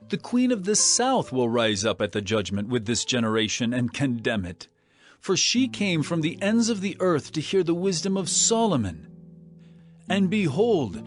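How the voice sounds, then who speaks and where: An actor playing a stern, earnest male teacher with authority, declaiming emphatically in a dramatized scripture production. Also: fairly high pitch.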